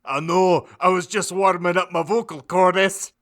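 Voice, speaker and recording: A man voicing an earnest, hot-tempered goth groundskeeper in an audiobook, declaiming in drawn-out, overwrought phrases. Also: high-pitched, fairly even intonation, a bit bright, pitched above the performer's own voice. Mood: loud, indignant.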